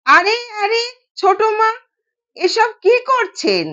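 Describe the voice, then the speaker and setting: Woman as a timid old manservant, slow-paced. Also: very high-pitched, very animated, sharp tone, lo-fi sound, voice pushed up, full character voice. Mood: alarmed. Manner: anxious, respectful. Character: timid, deferential older male household servant.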